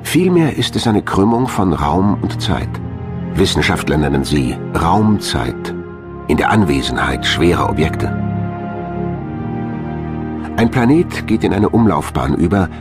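Male narrator for scripted science documentary. Low voice, lively intonation. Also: unhurried, pauses often. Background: background music.

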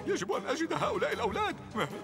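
An actor playing a bumbling, easily baffled scheming villain in a children's show dub, muttering and exclaiming. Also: male voice, very high voice, wildly swooping pitch, rapid-fire, creaky, over loud music, full character voice. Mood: baffled, alarmed.

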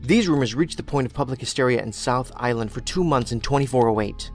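A man's voice: medium pitch, fast, soft background music, lightly acted. Dry, analytical male investigator acted by a man. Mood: dry, probing. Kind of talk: audio drama dialogue.

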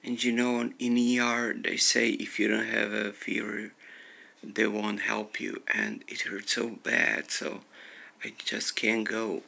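A man giving a slow, halting personal update to his viewers, speaking in pain and close to tears, often dropping to a near whisper. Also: fairly low pitch, completely flat delivery, vocal fry, bright, forceful tone. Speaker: vlogger.

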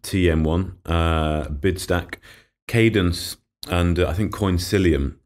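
A podcast host with a very low, deep voice, slightly thickened by a cold. He talks in a loose, chatty way, with easy laughs and plenty of fillers as he runs through the year. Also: takes it slowly. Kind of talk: casual investment podcast chat.

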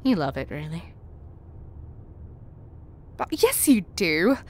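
A woman's voice: fairly low pitch, very animated, takes it slowly, heavily acted. A woman acting in an audio roleplay as a tough, confident spy with a tender private side, wry and self-assured. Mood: tender, teasing.

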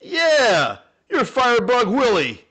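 Man as high, forceful, accusing cop. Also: huge pitch swings, slow pace, breathy edge, exaggerated voice. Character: loud, accusing male police officer. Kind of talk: old-time radio comedy acting.

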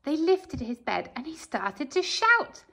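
A woman teacher reading a picture book aloud to her pupils in an empty classroom, with expressive storytelling. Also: high voice, takes it slowly, creaky.

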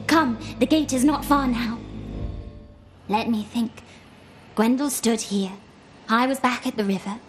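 A woman voicing a solemn, gentle elf in a video game, wistful and watchful, speaking in a measured, earnest way. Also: a little high, close to an everyday voice. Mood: urgent, thoughtful. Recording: music behind the voice.

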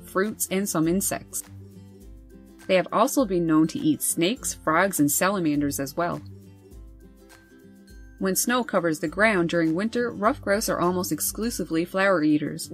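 A woman host reading scripted nature narration over wildlife footage in a measured, informative way. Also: sits low. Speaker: birding channel host.

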